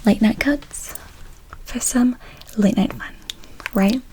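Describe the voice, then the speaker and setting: A soft-spoken woman doing an ASMR roleplay as a folksy, chatty late-night barber, often slipping into a whisper. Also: on the high side, takes it slowly, rough recording. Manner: folksy, chatty, calls listener pet names. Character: chatty, tired late-night female barber, sweet and sassy.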